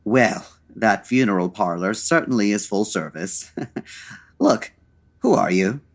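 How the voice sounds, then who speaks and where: An actor playing a suave, dry-witted 1940s gentleman detective, urbane and measured, in an old-time radio style. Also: on the low side, sing-song, vocal fry, heavily acted. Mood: flustered, wry.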